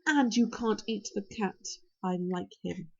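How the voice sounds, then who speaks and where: A woman's voice: on the high side, normal speed, warm tone, husky, dull audio. A woman voicing an earnest, exasperated young Viking boy in a storybook. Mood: strained, firm.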